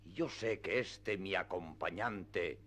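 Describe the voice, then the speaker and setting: Male actor as solemn old knight-errant, very deep, slow, ceremonious, radio play, noisy humming recording. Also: a bit bright. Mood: ceremonious, gracious. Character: grave, courteous, deluded old knight-errant gentleman. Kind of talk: radio theatre acting of classic literature.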